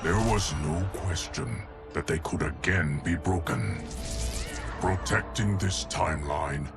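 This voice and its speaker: Very deep, stately ancient watcher. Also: male voice, animated, vocal fry, exaggerated voice. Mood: grave, vigilant. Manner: formal, stately, deliberate. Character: ancient male cosmic watcher of timelines, dutiful, formal. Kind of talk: scripted character epilogue narration. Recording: music underneath. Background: music.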